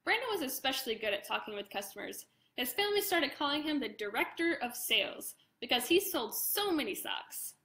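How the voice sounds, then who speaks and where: A woman reading her own picture book aloud to children in an expressive way, with casual chatty asides. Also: high voice, fairly quick, few pauses. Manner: expressive, addresses young listeners directly; casual, informal commentary between passages.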